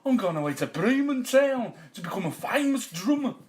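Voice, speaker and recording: Storybook donkey, male narrator, lifted pitch, chummy, keen and encouraging. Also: sing-song, moderate pace, darkish tone. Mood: friendly, eager. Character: friendly, inviting donkey who dreams of drumming.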